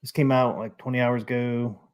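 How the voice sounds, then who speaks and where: A man's voice: mid-range voice, level tone, normal speed, a little mellow, clean tone. A man hosting a casual live stream, chatting and reading out viewer comments. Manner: easygoing, reads out viewer comments.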